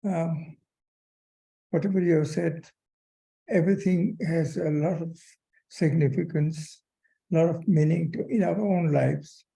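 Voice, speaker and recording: An older man gives a formal vote of thanks in a gravelly, very dark voice. He pauses often with "uh" and heaps earnest praise on the speaker. His pitch sits a little high for a man. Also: low-quality recording. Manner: halting, full of "uh", earnest praise. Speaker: senior guest giving vote of thanks. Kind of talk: formal thank-you speech.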